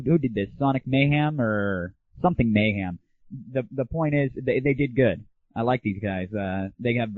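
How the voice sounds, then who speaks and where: A man's voice: medium pitch, no room sound. A man hosting an internet audio show, giving casual, opinionated solo commentary with irreverent, crude humor. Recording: thin phone-like early internet audio.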